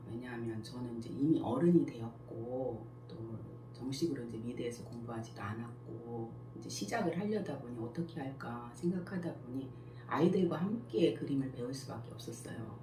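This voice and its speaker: A woman in her sixties, a school principal and picture book author, answering interview questions in a slow, modest, measured way. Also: extremely low pitch. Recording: echoey room, faint background noise.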